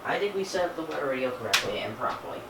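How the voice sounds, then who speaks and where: A man chatting casually and haltingly to himself as a hobbyist vlogger handling a device, recorded indoors. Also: medium pitch, very animated. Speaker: hobbyist vlogger unboxing gadgets. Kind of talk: casual unscripted chat while handling a device. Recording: echoey room, clear background noise.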